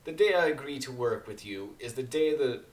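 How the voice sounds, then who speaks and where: A man's voice: medium pitch, expressive, normal speed, reverberant, close to an everyday voice. A man voicing a haughty, prim female cartoon pony, a classical musician with a formal, disdainful way of talking.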